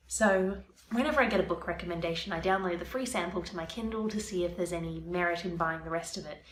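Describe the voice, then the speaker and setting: A young woman talks through her writing plans, her nose stuffy from a cold. Her voice sits fairly low and stays chatty as she explains each step. The room is echoey, with a faint hum behind her. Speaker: vlogger, aspiring fiction author. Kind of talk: casual vlog talk to camera.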